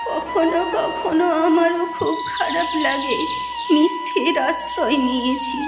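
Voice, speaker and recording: A woman voicing a tender old village grandmother in a cartoon, gentle and plaintive. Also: very high voice, a little slow. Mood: tearful, guilty. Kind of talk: cartoon moral-story dialogue. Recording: echoey sound with music behind.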